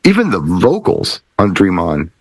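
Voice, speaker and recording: A man with a fairly low voice hosts a casual discussion in a close, dry sound. His intonation moves around a lot, and he often circles back to reword his point. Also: huge pitch swings, a little slow, breathy edge, no room sound. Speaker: talk show host, DJ. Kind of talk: casual panel chat.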